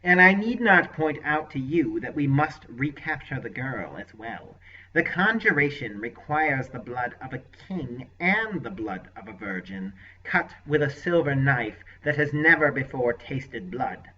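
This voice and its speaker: A man voicing a scheming male sorcerer with a deeply nasal, unctuous, smug manner in an audiobook. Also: lively intonation, unhurried, recorded from a distance, lightly acted. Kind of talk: audiobook character voice. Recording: lo-fi dull recording.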